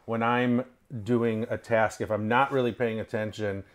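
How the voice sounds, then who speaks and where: A man's voice: fairly low pitch, fairly even intonation, normal speed. Agreeable middle-aged man, chatting casually. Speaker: co-host. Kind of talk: casual chat. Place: kitchen, indoors.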